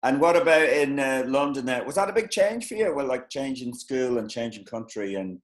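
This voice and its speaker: A man's voice: mid-range voice, big pitch swings, normal speed. Stumbling male interview host, echoey room. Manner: stumbling, many fillers and restarts. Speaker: comedy show host. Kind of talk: casual interview.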